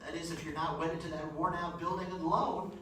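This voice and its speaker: A man's voice: a little high, fairly even intonation, fairly quick, fairly bright tone, lightly acted. Guy doing brash young salesman type, old radio play, heard from far off. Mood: brash, eager. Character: brash, pushy, back-slapping young businessman. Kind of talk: live radio play acting, 1940s American. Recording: echoey hall from far off, faint noise.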